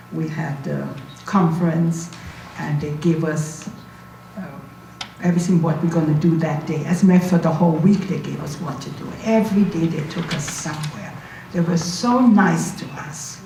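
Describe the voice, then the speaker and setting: Elderly German-accented woman, low voice, echoey room. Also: vocal fry, dark tone. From Berlin, Germany. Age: 80s. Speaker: Holocaust survivor giving a talk. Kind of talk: informal spoken recollection to an audience. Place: indoor university talk room, recorded from a distance. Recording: echoey room, distant mic, faint noise.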